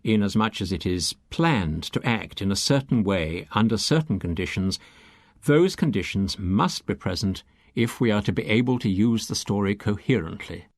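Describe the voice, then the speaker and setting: A man reading scripted, lecture-like exposition for an audiobook in a measured, deliberate way, with emphatic phrasing. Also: on the low side, no room sound, clean studio sound. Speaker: audiobook reader.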